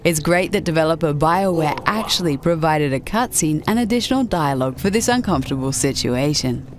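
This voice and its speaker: A woman with a low voice delivers scripted narration as the presenter of a video game list show. Music plays clearly behind her. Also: lively intonation, fairly quick.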